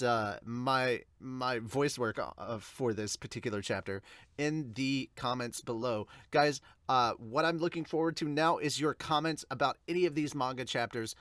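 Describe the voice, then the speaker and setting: A man's voice: medium pitch, fairly bright tone, dry recording, studio quality. A man hosting a manga review podcast, chatting to viewers in an informal, rambling way. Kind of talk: casual chat to viewers.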